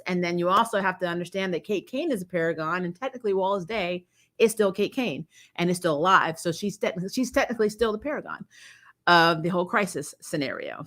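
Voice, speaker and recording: Bright, low-pitched woman, blunt and opinionated. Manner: blunt, mildly sweary. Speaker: host of a fan review channel. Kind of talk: casual opinionated trailer commentary.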